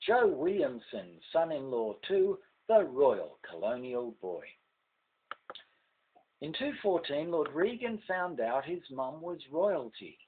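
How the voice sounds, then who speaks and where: A man's voice: on the high side, sing-song, slow, phone-quality sound, slight background noise. An older man with an Australian accent reciting rhymed ballad verse with theatrical, emphatic phrasing. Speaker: amateur poet reading own verse.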